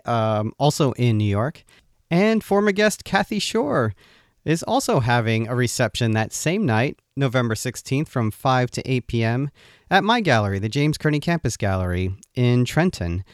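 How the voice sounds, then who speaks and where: A man's voice: medium pitch, smooth, no room sound, studio quality. Easygoing male podcast host. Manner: conversational, halting with fillers. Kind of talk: casual interview.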